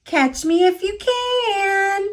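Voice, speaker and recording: A woman's voice: extremely high pitch, extremely slow, voice pushed up, full character voice. An American woman voicing a cheeky, boastful little gingerbread baby from a storybook, in a singsong taunting manner.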